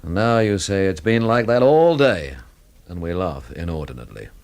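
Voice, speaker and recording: An actor in a radio drama playing a cool, sardonic young investigator, speaking in a dry, measured way. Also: male voice, sits low, sing-song, vocal fry, darkish tone, heavily acted. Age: young adult. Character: cool, sardonic young investigator narrating his own mystery. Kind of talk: radio drama narration and dialogue.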